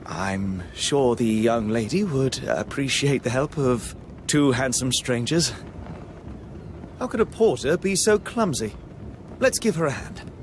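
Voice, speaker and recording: An actor playing a breezy, chivalrous, flirtatious gentleman traveller, polite and smooth-talking, in a video game. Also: medium pitch, lively intonation, measured pace, slight background noise, lightly acted. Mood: gallant. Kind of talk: video game dialogue acting.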